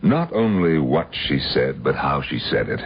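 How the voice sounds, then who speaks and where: A man's voice: very deep voice, sing-song, moderate pace, breathy edge, heavily acted. An actor playing a guarded man pursuing his old love's killer in a radio mystery drama, both in dialogue and narration. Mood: wry. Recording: dull old radio broadcast, music behind.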